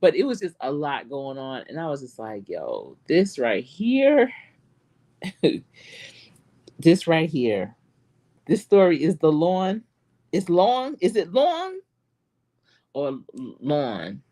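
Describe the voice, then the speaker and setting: A woman's voice: deep voice, takes it slowly, pauses often. A middle-aged woman with a Charlotte accent, a life coach chatting casually to live stream viewers and telling stories with lots of laughter. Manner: animated storytelling with frequent laughs.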